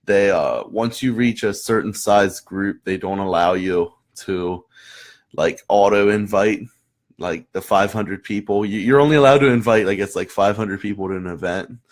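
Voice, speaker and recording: A young man with a low, hoarse voice hosts a casual live chat for viewers. He rambles, restarts his sentences and fills gaps with ums and you knows. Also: moderate pace. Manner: rambling, many restarts and fillers. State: hoarse after losing his voice. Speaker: host of a live marketing chat.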